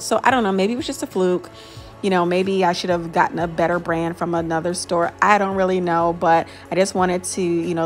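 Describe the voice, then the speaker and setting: A woman in her thirties with a fairly low voice talks through her home organizing video in an easy, rambling way. She fills her sentences with "you know" and "um" and laughs at herself often. Faint music plays underneath. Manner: chatty, rambling, with frequent fillers and laughs. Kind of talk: casual voice-over chat.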